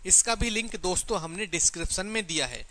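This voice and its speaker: A man's voice: sits high, lively intonation, quick pace, sharp tone. A man giving an informal promotional walkthrough of study books, repeating himself and checking in with the listener.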